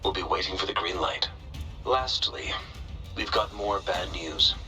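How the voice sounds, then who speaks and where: Casting: a dry, pragmatic game tech specialist, very sharp forceful tone over a lowish pitch, clipped delivery. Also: male voice, fairly even intonation, measured pace, soft background music, heavily acted. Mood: matter-of-fact, dry. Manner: matter-of-fact, clipped technical explaining. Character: brisk, pragmatic male weapons specialist, dryly skeptical. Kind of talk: scripted video game cutscene acting.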